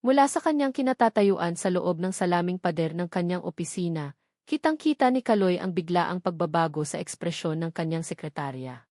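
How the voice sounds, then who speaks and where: A woman's voice: lowish voice, expressive, a little fast, a little mellow, dry recording. Woman narrating a drama, dry close sound. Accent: Filipino. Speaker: audiobook narrator. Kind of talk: dramatic scripted story narration.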